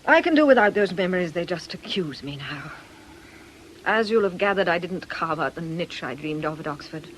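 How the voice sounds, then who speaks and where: Actress doing bitter theatrical fortune teller, middle aged, weary and scornful, radio play, slight noise. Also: female voice, on the low side, animated, moderate pace, close to an everyday voice, low-quality recording. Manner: world-weary, scornful, declamatory. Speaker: actor in a radio drama. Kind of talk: radio drama acting.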